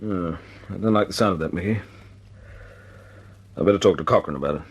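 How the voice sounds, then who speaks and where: An actor playing an earnest young bomber squadron commander in an old radio drama, clipped and direct. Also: male voice, sits low, brisk, husky. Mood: commanding. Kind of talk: 1940s radio acting.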